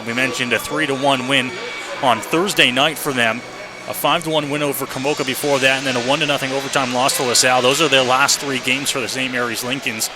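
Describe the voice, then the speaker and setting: A man doing live radio play-by-play commentary before a hockey game, as the announcer. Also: medium pitch. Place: indoor hockey arena. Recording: noisy live rink broadcast. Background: clear background arena noise.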